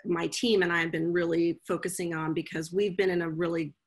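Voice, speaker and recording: Woman on a zoom call from home, lowish husky voice, thinks out loud, lots of ums. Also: flat delivery, a little fast. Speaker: guest, legal professional. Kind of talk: casual video-call chat. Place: home room, indoors. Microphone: video call.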